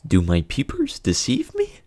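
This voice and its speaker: A young man telling a casual comedic story in a conversational way, with mock-dramatic pauses, close on a studio mic. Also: sits high, wildly swooping pitch, creaky, mellow, no echo. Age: young adult. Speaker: video host, storyteller. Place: small indoor home studio. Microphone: close studio condenser mic with pop filter.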